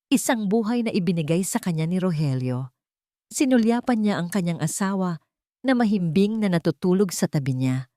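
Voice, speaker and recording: A woman storyteller narrating a scripted romantic drama in an expressive, measured way. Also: lowish voice, dry recording, studio quality.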